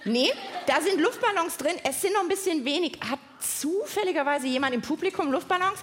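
A woman's voice: very high voice, lively intonation, fairly quick. A woman co-hosting a children's science show in front of a live audience, giving simple, encouraging instructions. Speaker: co-host of a children's science podcast. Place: live stage at a podcast festival. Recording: clear background noise, live event.

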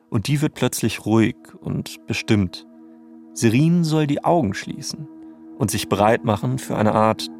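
A man's voice: medium pitch, gravelly. A man co-hosting a narrative podcast, reading scripted storytelling in a conversational, explanatory tone.

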